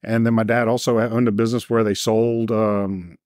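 A man's voice: lowish voice, little pitch movement, moderate pace, a little mellow, no room sound, clean studio sound. A man in his fifties, from North Carolina, speaking as a company co-founder in a casual podcast interview, easygoing and full of fillers. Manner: easygoing, many fillers such as "uh" and "like". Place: office set, indoors. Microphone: table podcast mic.

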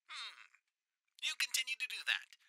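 A man's voice: very high-pitched, wildly swooping pitch, bright, forceful tone, no echo, full character voice. A man voicing a smug, taunting, all-powerful master in a fan roleplay drama, mocking and condescending. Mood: cool, menacing. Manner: mocking, condescending, theatrical drawn-out delivery.

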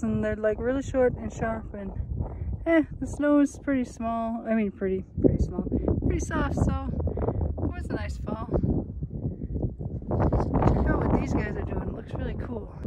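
Middle-aged woman, Minnesotan, casual travel vlogger; a bit high-pitched, rambling, self-amused, phone-selfie sound. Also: very noisy. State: out of breath at altitude. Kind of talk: casual vlog to camera. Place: in a car, then outdoors on snowy ski trails. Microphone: phone held at arm's length.

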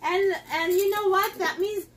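High-voiced chatty woman demonstrating kitchenware. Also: unhurried, recorded from a distance. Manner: chatty and excitable, talks straight to viewers. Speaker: host selling kitchenware. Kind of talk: live cooking demonstration. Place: home kitchen, indoors. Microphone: camera across the counter.

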